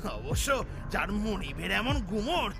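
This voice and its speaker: A man's voice: high-pitched, very animated, slow, gravelly, over music, exaggerated voice. A man playing a bullying, contemptuous landlord's footman in an audio drama, scolding. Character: abusive, bullying male footman of a village landlord.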